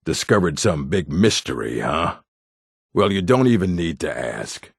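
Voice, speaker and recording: A gruff orc from a fantasy video game, with a very low, husky, gravelly voice. He is blunt, stern and proud, and his delivery swings widely in pitch. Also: very animated, measured pace, no echo, studio quality, heavily acted. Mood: dry, dismissive. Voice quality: gravelly, rough. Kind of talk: video game character voice lines.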